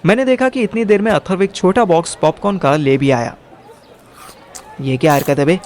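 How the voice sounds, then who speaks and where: A man's voice: high voice, normal speed, darkish tone, slight background noise. A young man narrating a first-person audio drama in colloquial Hindi mixed with English words. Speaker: narrator and lead character.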